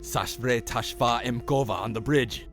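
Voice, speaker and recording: A man's voice: mid-range voice, slow pace. A man playing an alert, dutiful bridge crewman aboard a starship in an audio drama. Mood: formal, alert. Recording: voice under loud music.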